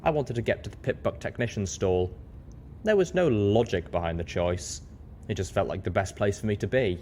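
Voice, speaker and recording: A man's voice: on the low side, animated, moderate pace, a little mellow, a little hiss or hum. A man reading scripted first-person fiction as the narrator of an audio story.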